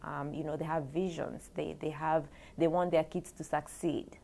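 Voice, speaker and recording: Young woman, low gravelly voice, hesitant and soft. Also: level tone, normal speed. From Kenya. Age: young adult. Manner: soft-spoken, hesitant, many ums. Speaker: graduate student. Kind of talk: interview answers.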